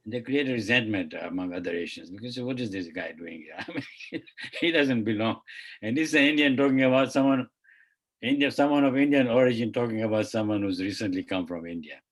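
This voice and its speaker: Low-voiced man reflecting as a panelist. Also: brisk. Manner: reflective, hedging, trails off. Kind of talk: online panel discussion. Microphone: video call.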